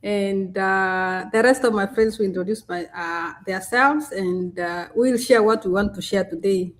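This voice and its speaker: A woman's voice: medium pitch, measured pace. A woman, hosting a live stream in a chatty way, with many fillers and restarts. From Kenya.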